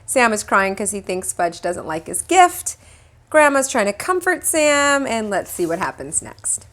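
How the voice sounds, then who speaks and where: A woman's voice: medium pitch, unhurried. A woman reading a children's book aloud like a teacher, expressively and acting out the dialogue. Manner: animated, acts out dialogue.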